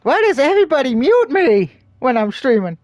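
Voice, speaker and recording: Male streamer, crude jokey banter, lively swinging voice, dry close-mic sound. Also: very high-pitched, moderate pace, no echo. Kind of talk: casual let's-play commentary and reading aloud.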